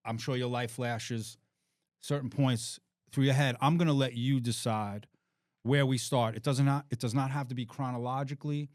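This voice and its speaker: A man's voice: mid-range voice, steady tone, normal speed, darkish tone, no room sound. Guy hosting a podcast, stops and restarts his questions a lot, dry close sound. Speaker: podcast host. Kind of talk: interview questions.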